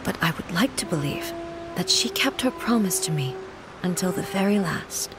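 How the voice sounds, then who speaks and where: A woman's voice: fairly low pitch, expressive, noisy background, close to an everyday voice. Woman playing a solemn, stately immortal female ruler. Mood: sorrowful. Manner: measured, formal, stately. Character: solemn, regal immortal female shogun, grieving yet composed. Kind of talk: video game voice acting, formal dialogue.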